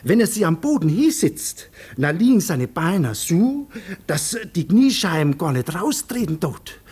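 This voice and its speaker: An actor playing a humble, talkative prison warder in a radio play, with a homely Franconian dialect and a deferential manner. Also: male voice, high-pitched, very animated, a little slow, darkish tone, slightly rough, exaggerated voice. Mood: chatty, earnest. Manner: homely dialect, deferential, hesitant over learned words. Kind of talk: German radio play acting.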